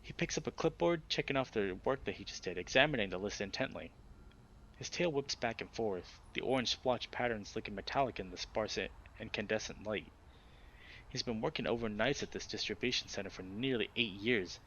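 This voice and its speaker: A man's voice: lowish voice, a little fast, a bit bright. A man narrating story prose as he reads a visual novel aloud. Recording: dull, noisy home recording.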